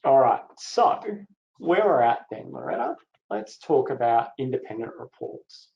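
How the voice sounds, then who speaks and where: Man, mellow mid-pitched voice, steady explainer, muffled echoey call audio. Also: a little slow, gravelly. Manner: steady, explanatory, moderates the session. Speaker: legal aid co-presenter. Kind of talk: webinar presentation. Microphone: video call. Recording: dull echoey webinar audio.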